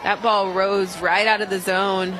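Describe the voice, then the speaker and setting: A woman giving upbeat, appreciative live analysis as the color commentator on a softball broadcast. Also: mid-range voice, little pitch movement, unhurried. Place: outdoor softball stadium. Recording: loud crowd and outdoor stadium noise. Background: crowd noise, outdoor ambience.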